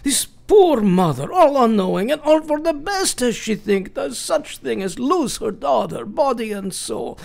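A woman playing a grave, eccentric male professor of medicine in a radio play, speaking solemnly and deliberately. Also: fairly high pitch, big pitch swings, vocal fry, darkish tone, full character voice. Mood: anguished. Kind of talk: radio drama acting.

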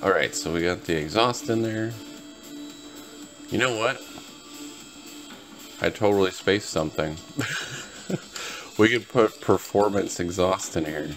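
A man's voice: sits low, measured pace, long pauses, fairly bright tone, soft background music. A tired, laid-back man giving casual, rambling gameplay commentary to his viewers as host of a gaming video. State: tired, just woke up.

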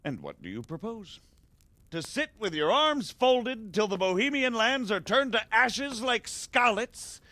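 An actor playing a shrewd, scheming middle-aged male margrave in a video game cutscene, smooth and persuasive. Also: sits high, big pitch swings, measured pace, bright, forceful tone, no room sound, exaggerated voice. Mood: indignant. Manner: smooth, persuasive, flares up when pressed.

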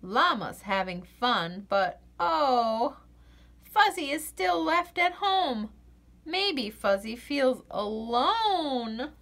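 A woman reading a children's picture book aloud in an expressive, sing-song way. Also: high voice, extremely slow, creaky. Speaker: children's story reader.

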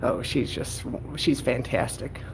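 A man's voice: medium pitch, fairly even intonation, a little slow, vocal fry, noisy background. A man putting on a meddling, syrupy old grandma persona for a livestream, calling everyone darling and speaking of himself as Grandma. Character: meddling sugary old grandma, elderly woman. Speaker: livestreamer filming public buildings. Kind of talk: trolling livestream banter. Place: indoor public building. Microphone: handheld phone camera.

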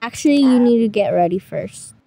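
A young adult woman improvising an animated game roleplay, narrating each action in an offhand way. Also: a little high, a little fast, vocal fry, dry recording. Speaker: roleplay storyteller voicing all characters.

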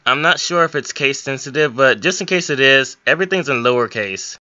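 A man's voice: medium pitch, brisk, bright, forceful tone, dry recording, lo-fi sound. A young man giving an informal, step-by-step screen tutorial with a somewhat hesitant way of explaining. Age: young adult. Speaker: hobbyist game modder giving a tutorial.

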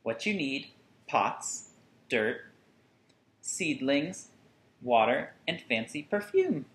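A man in his thirties reading a picture book aloud to children with deliberate, singsong phrasing and dramatic emphasis. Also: medium pitch, very animated, fairly bright tone. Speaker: children's story reader. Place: small furnished room at home, indoors. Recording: roomy indoor echo.

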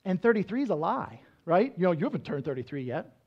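Pastor guy in his 30s preaching on a church stage, highish voice, keeps saying right?, kinda muffled sound. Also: sing-song, fast, vocal fry. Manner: conversational teaching, frequent "right?" check-ins; walks around the stage gesturing. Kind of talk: sermon. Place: church stage with band instruments.

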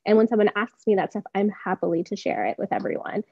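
Woman, thirties, panelist, casual talk, soft dark timbre, dry lo-fi video call. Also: mid-range voice, quick pace, creaky, slightly rough, dry recording. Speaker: expert panelist, small business founder. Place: indoor room on a video call. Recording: dull, lo-fi video call audio.

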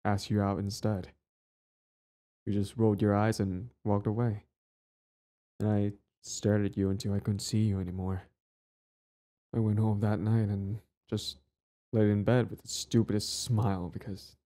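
A man's voice: lowish voice, warm tone. A young man acting a possessive, lovestruck bully in an intimate boyfriend audio roleplay, speaking earnestly with hesitant stammers.

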